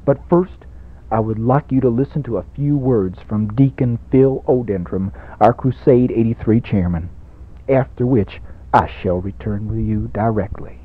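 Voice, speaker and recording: A man's voice: on the low side, moderate pace, slight background noise, natural voice. On a thin, narrow-band old college radio recording, a man acts out a grandiose, money-hungry radio preacher. He is pompous and emphatic, repeating phrases in a swelling sermon cadence for a comic radio play. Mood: reassuring.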